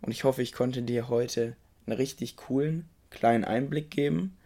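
A young man hosting a podcast, slightly hoarse from a cold, recommending a book in a casual, enthusiastic way and talking straight to the listener. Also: medium pitch, moderate pace, dark tone. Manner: enthusiastic, informal, addresses the listener directly. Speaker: podcast host.

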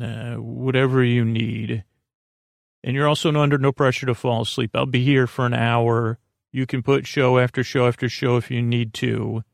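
A man hosting a bedtime podcast in a casual, reassuring, rambling monologue, halting often and trailing off to restart his thoughts. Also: mid-range voice, vocal fry, dry recording, clean studio sound. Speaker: podcast host and bedtime storyteller.

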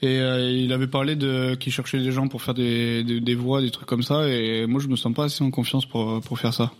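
A man's voice: medium pitch, flat delivery, moderate pace. Guy at a mic, chill drinking chat, laughs a lot, middle voice. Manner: laid-back, laughing, teasing back. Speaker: podcast co-host, singer.

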